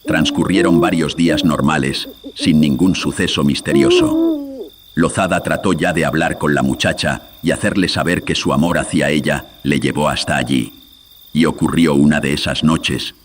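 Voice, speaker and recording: A man's voice: extremely low pitch, animated, normal speed. A man narrating a horror radio drama in a solemn, theatrical way, with a processed voice. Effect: voice processed with audio filters.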